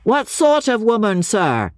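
A woman's voice: fairly high pitch, wildly swooping pitch, a little slow, dry recording, voice pushed up. A woman voicing a polite male policeman who answers and questions deferentially in an audiobook reading. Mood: puzzled.

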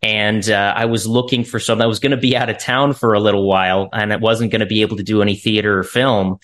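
A man's voice: lowish voice, steady tone, fast talker, fairly bright tone. A man, an actor being interviewed, explaining things in a casual, straightforward way.

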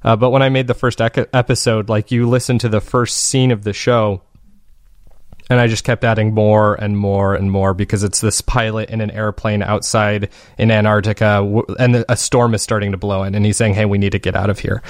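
Male podcast creator, lowish pitch, dry sound. Conversational interview guest, thoughtful explainer with casual phrasing. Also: flat delivery, fairly quick, no room sound.

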